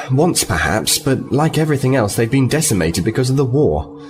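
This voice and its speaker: A man's voice: medium pitch, sing-song, normal speed, natural voice. A man playing an earnest, diplomatic lieutenant in a sci-fi audio drama, polite and composed as he explains. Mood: grave, earnest. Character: earnest, diplomatic male starfleet lieutenant, liaison officer.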